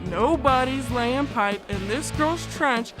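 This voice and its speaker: Woman playing a brash, slow-drawling bawdy flirt. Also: high-pitched, music in the background. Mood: teasing, boastful. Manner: drawn-out, giggly, teasing delivery. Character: brash, bawdy blonde flirt, proud self-declared virgin. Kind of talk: comic audiobook dramatization.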